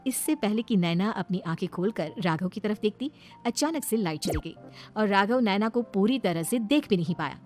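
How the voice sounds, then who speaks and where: A woman's voice: medium pitch, animated, normal speed, darkish tone, soft background music. A woman reading scripted narration as a storyteller.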